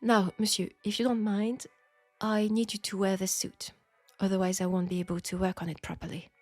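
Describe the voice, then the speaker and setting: A woman playing a candid, proud French seamstress in a steampunk audio drama, speaking with a French accent in a formal, deliberate way. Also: mid-range voice, flat delivery, darkish tone, dry recording, faint music behind, lightly acted. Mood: polite, businesslike. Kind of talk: intimate scripted audio roleplay.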